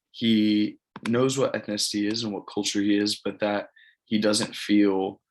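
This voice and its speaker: A man's voice: fairly low pitch, gravelly. A man, giving an informal spoken presentation in a plain, matter-of-fact, casual way. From California, United States. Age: early 20s. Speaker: college student presenting an assignment.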